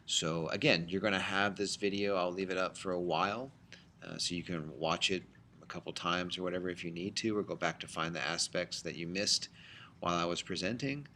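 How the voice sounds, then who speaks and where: A middle-aged man teaching a high school biology class in a recorded lecture, explaining in a conversational way with frequent fillers. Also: steady tone, brisk, sharp tone, slight background noise.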